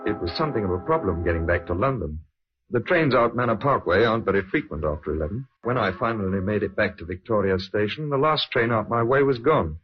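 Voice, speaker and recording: A man's voice: fairly low pitch, expressive, fast, slightly rough, faint music behind. An actor playing a plain-spoken sailor answering police questions in a 1950s radio drama, a man's voice. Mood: matter-of-fact. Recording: dull old radio recording.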